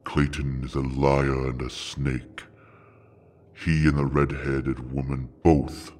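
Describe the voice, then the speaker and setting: A man's voice: rumbling low, little pitch movement, slow, a little mellow, exaggerated voice. A man playing an ancient, imperious dragon in an audio drama, speaking in a grand, formal, menacing way. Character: ancient, imperious male dragon guarding a hoard of books.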